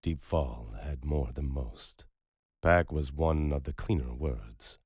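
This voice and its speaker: A man narrating a thriller audiobook in a steady, measured way. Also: extremely low pitch, breathy edge, no room sound, studio quality.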